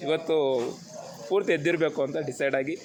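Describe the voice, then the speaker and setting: A man's voice: on the high side, a little fast. A young man, chatting casually and bantering as he vlogs among friends. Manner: informal, bantering. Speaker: vlogger. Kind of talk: casual vlog chat with friends. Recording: loud chatter of people around. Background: group of friends talking.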